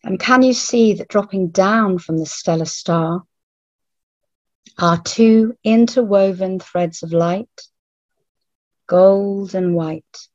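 A woman leading a guided meditation in a hushed, soothing manner, leaving long pauses between short phrases. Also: medium pitch. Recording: roomy, phone-like narrowband audio.

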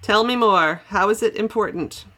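A woman's voice: medium pitch, normal speed, bright, forceful tone, a little hiss or hum. A woman hosting a podcast in a conversational, emphatic way, drawing out key words. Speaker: podcast host. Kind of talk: hosted podcast intro and phone interview.

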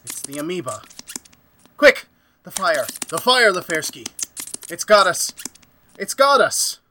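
A man playing an anguished scientist in an old-time radio horror play, with a halting, urgent, melodramatic delivery. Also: high-pitched, sing-song, pushed and bright, a little hiss or hum, heavily acted. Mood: frantic anguish. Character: anguished scientist, doctor haunted by his creation.

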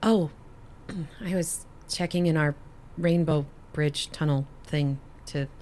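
An actress playing a wry, caring, protective friend in an audio drama, talking in a casual, teasing way. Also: female voice, low voice, slow, a little mellow. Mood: concerned. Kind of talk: naturalistic audio drama acting.

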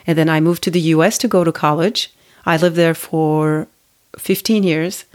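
A woman's voice: low voice, steady tone, moderate pace. A woman in her forties from Turkey, hosting a podcast and telling her own story in a reflective, conversational way with restarts and fillers. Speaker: podcast host. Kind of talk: personal storytelling monologue.

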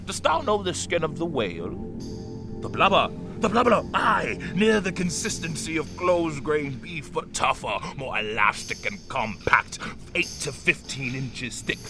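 An actor playing an obsessed old whaling captain in an audio drama, brooding and commanding, with grandiose archaic speech. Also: male voice, medium pitch, lightly acted. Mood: fervent musing. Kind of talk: theatrical audio drama acting. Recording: clear background noise, stormy ship ambience.

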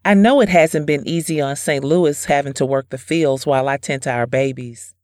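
An actress playing a gentle, trusting wife and mother, politely spoken, in an audio drama. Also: low-pitched, normal speed, no echo, studio quality, natural voice. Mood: friendly.

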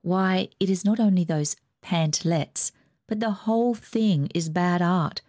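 A woman reading aloud, voicing a gentle middle-aged father in a measured, hesitant way, close to her own voice. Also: on the low side, no echo, natural voice. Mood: earnest.

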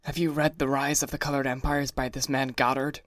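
Guy doing a blustery brutish rich husband, pushy and pompous, middle pitch. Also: flat delivery, a little fast, fairly bright tone, no echo, studio quality, close to an everyday voice. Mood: blustering. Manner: gruff, insistent and pompous. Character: blustering wealthy young husband, cross and brutish.

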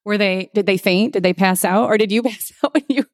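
A woman's voice: medium pitch, brisk, dry recording, studio quality. A woman physical therapist hosting a casual interview, chatty and encouraging, often laughing. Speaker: physical therapist and podcast host.

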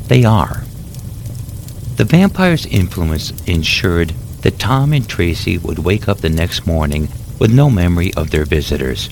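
Very deep man reading a horror story. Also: a little mellow, a little hiss or hum. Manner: measured, dramatic narration. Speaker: author and narrator.

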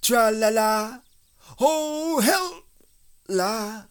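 A man voicing a cheerful, simple-minded storybook bear who speaks in a slow, emphatic singsong and likes to hum and call out proudly. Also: on the high side, big pitch swings, pitched above the performer's own voice. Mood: gaily humming. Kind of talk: children's storybook character voice.

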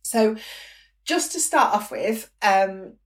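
Croaky-voiced woman hosting a business podcast. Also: medium pitch, expressive, takes it slowly, distant mic. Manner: chatty, encouraging, frequent "okay?" and "um". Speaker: podcast host, business coach. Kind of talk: solo podcast monologue.

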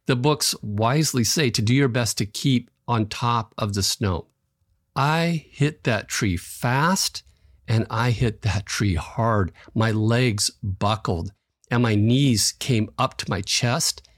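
A man with a slightly raspy voice from allergies, hosting a devotional podcast and telling a personal story in a calm, earnest way with light chuckles. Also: mid-range voice, no room sound, clean studio sound. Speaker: podcast host.

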